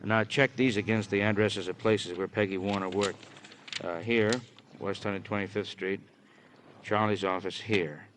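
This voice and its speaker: A low-voiced American male police detective from a 1950s radio drama, played by an actor, wry and roundabout, handing out hints piece by piece. There is faint hiss on the old recording. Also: steady tone, measured pace, lightly acted. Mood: methodical, knowing. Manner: drawling out hints, tagging questions with huh.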